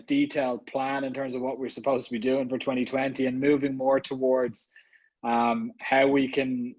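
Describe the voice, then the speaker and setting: A man's voice: mid-range voice, no echo, muffled recording. Chatty Irish man on a video call. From Ireland. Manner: chatty, many fillers and restarts. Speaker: guest speaker, marketing executive. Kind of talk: casual online summit talk.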